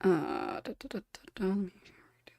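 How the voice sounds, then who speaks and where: A woman's voice: medium pitch, moderate pace, dark tone. A woman hosting a podcast in a casual, chatty way, often giggling as she talks. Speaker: podcast host.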